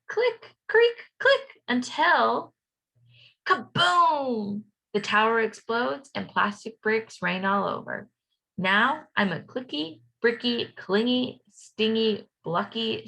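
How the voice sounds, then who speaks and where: A woman reading a children's storybook aloud as a playful young schoolboy narrator, expressive and rhythmic. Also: fairly high pitch, slow, creaky, exaggerated voice, poor audio. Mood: excited.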